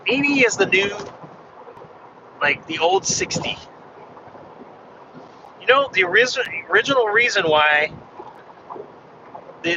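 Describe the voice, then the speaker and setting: Slightly hoarse man chatting while driving. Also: high-pitched. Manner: rambling, chatty, restarts. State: losing his voice. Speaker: ham radio vlogger. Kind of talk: casual chat while driving. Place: inside a car on a freeway. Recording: dull muffled car recording with road noise. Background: road and engine noise.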